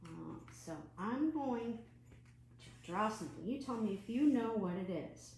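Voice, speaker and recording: A woman leading an online children's storytime and craft from home, animated and putting questions to young viewers. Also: on the high side, a little slow. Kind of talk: children's storytime read-aloud and craft demonstration. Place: at home, indoors. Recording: roomy echo, faint background noise.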